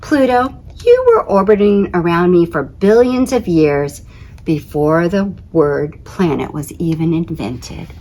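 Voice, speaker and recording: Woman doing a big wise storybook sun lady, husky, swoopy and reassuring. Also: fairly low pitch, animated, unhurried, darkish tone, rough recording. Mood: grand.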